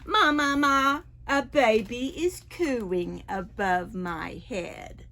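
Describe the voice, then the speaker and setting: A woman's voice: on the high side, drawn-out words, bright, forceful tone, exaggerated voice. A woman voicing a baffled young storybook boy kept awake by noisy neighbours, exclaiming and imitating noises in singsong. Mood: loud, puzzled.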